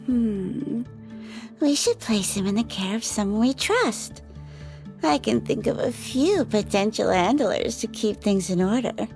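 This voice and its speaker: An actress playing a composed, cunning woman who speaks in a measured, formal way in an audio roleplay. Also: a little high, animated, creaky, darkish tone, faint music behind. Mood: calm, scheming. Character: composed, cunning woman, devoted partner and schemer. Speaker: lead character in an audio drama. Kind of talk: ASMR audio roleplay acting.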